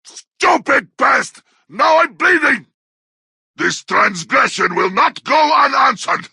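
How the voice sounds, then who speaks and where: Savage orc fighter for a game, super high strained yelling, harsh n sharp, snarly battle barks. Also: male voice, very animated, takes it slowly, no echo, clean studio sound, exaggerated voice. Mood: taunting, aggressive. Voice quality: harsh, raspy growl. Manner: snarling battle barks in crude, broken grammar. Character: brutish, bloodthirsty orc warrior of Mordor, aggressive and taunting. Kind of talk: video game combat barks.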